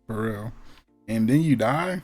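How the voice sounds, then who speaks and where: A man's voice: mid-range voice, lively intonation, unhurried, darkish tone. A man with an American accent and a mid-range voice talks as a podcast co-host. He is informal and slangy, often doubling his phrases for emphasis, over faint background music. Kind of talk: casual chat about games and movies.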